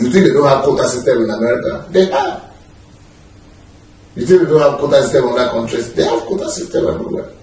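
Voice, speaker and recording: A man talking in a relaxed, emphatic way and gesturing freely as he lounges on a sofa in a living room, recorded from a distant camera. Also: medium pitch, slight background noise. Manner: animated, gesturing, emphatic with laughs. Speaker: pastor being interviewed. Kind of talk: relaxed conversational interview. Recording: echoey room, mic at a distance.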